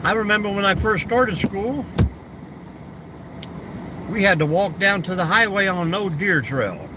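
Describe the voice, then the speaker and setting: A man's voice: high-pitched, expressive, unhurried, background noise. An older man hosting a casual live stream, answering viewer comments in an easygoing, folksy way and reminiscing about the old days.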